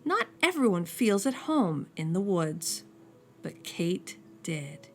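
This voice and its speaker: A woman reading a children's picture book aloud as a storyteller, slowing down and dropping soft and low on each refrain. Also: sing-song, soft background music.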